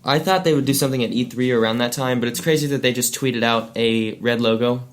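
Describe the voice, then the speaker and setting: A man's voice: mid-range voice, quick pace. A man co-hosting a gaming podcast in casual, conversational talk. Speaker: podcast co-host.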